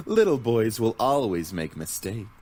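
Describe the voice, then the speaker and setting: Suave, animated flirtatious gentleman demon. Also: lowish voice, unhurried, heavily acted. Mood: smug. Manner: theatrical, flattering. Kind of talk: visual novel game acting.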